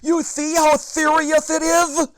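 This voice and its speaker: A wailing toothless old grandpa. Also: male voice, extremely high pitch, completely flat delivery, no room sound, pitched above the performer's own voice, poor audio. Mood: distraught. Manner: loud, slow, wailing, mumbling as if toothless. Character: fussy old grandpa who lost his teeth. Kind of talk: children's storybook read-aloud.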